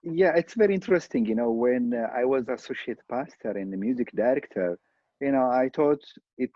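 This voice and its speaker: A middle-aged man from Ethiopia with an Ethiopian accent, a pastor talking in a podcast interview in an earnest, halting way with many fillers. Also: medium pitch, lively intonation, dry recording, lo-fi sound. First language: Amharic.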